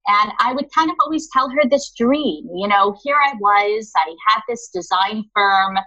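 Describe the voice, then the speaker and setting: A woman's voice: mid-range voice, expressive, normal speed, bright, forceful tone, highs cut off. A woman who runs a linen store, chatting in a podcast interview and often calling the listener honey. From Los Angeles area.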